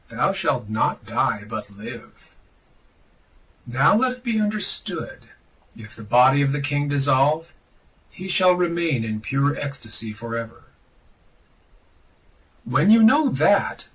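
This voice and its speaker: A man reading a religious text aloud in a solemn, dramatic style, declamatory and deliberate, with emphatic pauses. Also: medium pitch, sharp tone, breathy edge, far from the mic, poor audio. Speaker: podcast reader of esoteric texts.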